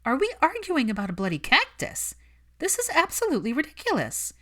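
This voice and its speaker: A woman's voice: wildly swooping pitch, fairly quick, fairly bright tone, heavily acted. A woman voicing a furious, outspoken young woman who argues insistently and indignantly in an audiobook-style story. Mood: indignant disbelief. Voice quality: shrill, screeching.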